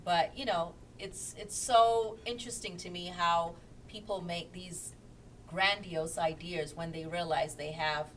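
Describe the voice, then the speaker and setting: A woman in her thirties chats casually on a home live stream, lower-pitched and sociable. Her voice sits back from the webcam mic with a faint low rumble underneath. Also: fairly even intonation, unhurried, recorded from a distance, poor audio. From England. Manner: chatty, laughs often, reads viewer comments aloud. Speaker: co-host of a couple's live chat. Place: small room at home, indoors.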